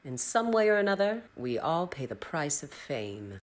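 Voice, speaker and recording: Audio drama: jaded Hollywood cynic, deep female voice, blunt and dismissive, lively inflection. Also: moderate pace. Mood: jaded, cynical. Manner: blunt, dismissive, world-weary.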